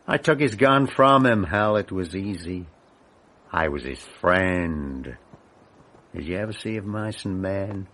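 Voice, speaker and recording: A man's voice: sits low, lively intonation, measured pace, a bit bright. An actor playing an ageing Hollywood film director in a radio drama, cynical, bitter and bluntly laconic, a man in his sixties. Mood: bitter, wistful. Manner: laconic, worldly, bluntly defiant.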